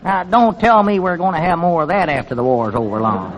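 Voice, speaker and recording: An actor playing a dim, stubbornly literal country storekeeper with a rural Southern accent, querulous and folksy, in a 1940s radio comedy. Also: male voice, a little high, a little fast, over a noisy crowd, lightly acted. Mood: indignant. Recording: dull, very noisy 1940s radio recording.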